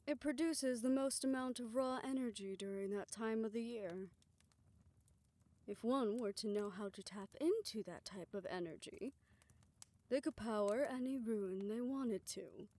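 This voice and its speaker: A woman performing a scripted audio roleplay, voicing several characters in a soft, hushed way that often drops to a whisper. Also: mid-range voice.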